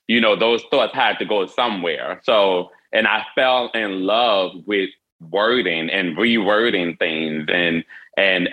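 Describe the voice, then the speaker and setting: Earnest man, bright forceful voice. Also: on the low side, low-quality recording. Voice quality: wears hearing aids. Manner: earnest, halting with frequent restarts. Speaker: interview guest. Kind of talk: candid personal storytelling.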